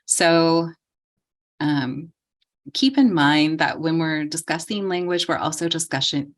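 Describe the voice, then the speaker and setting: A woman leading a training session for volunteer tutors, explaining calmly and often pausing on "um". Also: low-pitched. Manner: calm, explanatory, frequent ums. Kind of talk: training lecture.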